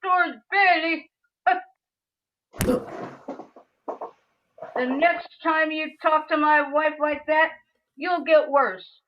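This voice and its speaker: A woman playing an angry, bullying husband in a radio play, loud and threatening. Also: high voice, fairly even intonation, very slow, pauses often. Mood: menacing, angry. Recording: dull distant video call audio.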